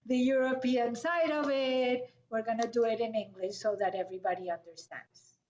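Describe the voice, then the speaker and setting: A middle-aged woman from Colombia, hosting an interview over a video call in a measured, welcoming way. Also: on the high side, darkish tone. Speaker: host. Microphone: video call webcam. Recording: echoey, dull webcam audio.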